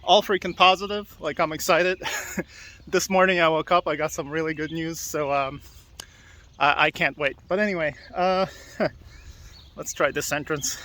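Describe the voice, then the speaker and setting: A man's voice: a little high, fairly even intonation, normal speed, pauses often, pushed and bright. A man hosting a casual walking video podcast outdoors, rambling and upbeat, laughing often and talking with many fillers. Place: outdoors by railway tracks.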